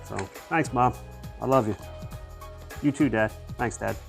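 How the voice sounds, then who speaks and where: A man's voice: medium pitch, a little slow, gravelly, loud music behind the voice. Congested man talking in his garage. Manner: rambling, loose explanations with fillers. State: congested, nasal from sinuses. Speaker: DIY truck builder. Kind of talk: casual workshop vlog. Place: home garage.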